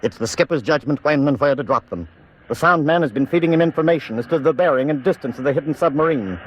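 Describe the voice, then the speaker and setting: A man's voice: on the high side, fast talker, slight background noise, rough recording. An American man narrating a war drama in a grave, emphatic 1940s radio style.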